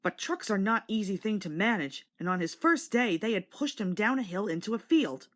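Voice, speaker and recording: A woman narrates a children's storybook in a scripted read-along style. Her voice is medium in pitch, with very lively, varied intonation. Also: normal speed.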